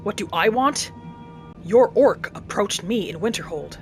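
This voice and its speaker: A woman's voice: mid-range voice, animated, measured pace, soft background music. A woman giving in-character commentary on a roleplay game, musing and asking questions as she goes. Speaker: gamer, host of roleplay playthrough.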